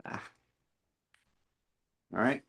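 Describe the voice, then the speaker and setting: A man's voice: drawn-out words, fairly bright tone. A man chatting casually while he works on code at a computer, thinking aloud and asking questions. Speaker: meeting host typing code as he learns.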